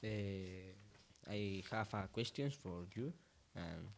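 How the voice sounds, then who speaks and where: A man, a student interviewer, asking prepared questions in halting non-native English on a noisy recording. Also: low voice, creaky.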